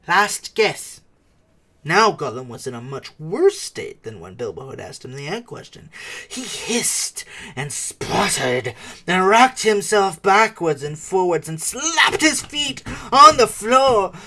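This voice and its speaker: A man narrating a storybook dramatically, moving theatrically between hushed and emphatic delivery. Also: sits high, sing-song, measured pace. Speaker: audiobook narrator.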